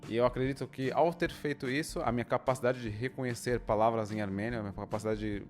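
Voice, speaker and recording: Young Brazilian man, Brazilian Portuguese accent, mid-range voice, reflective and halting as he explains; co-host in a casual chat. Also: mid-range voice, steady tone, faint music behind. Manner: thoughtful, explains at length with many pauses and restarts. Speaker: co-host, language learner.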